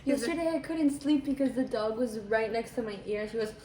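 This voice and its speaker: A woman's voice: on the high side, a little fast, roomy sound, background noise. A teenage girl, the daughter, teasing and talking back in a casual chat. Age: teens.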